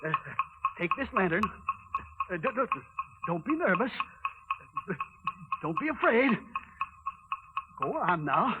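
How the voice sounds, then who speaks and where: A man's voice: sits high, big pitch swings, slow, pauses often, full character voice. An actor playing a bumbling old dwarf leader who stammers and muddles his words in a 1930s radio drama. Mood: nervous, bossy. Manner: stammering, tongue-tangled with spoonerisms. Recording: noisy, tinny 1930s radio recording.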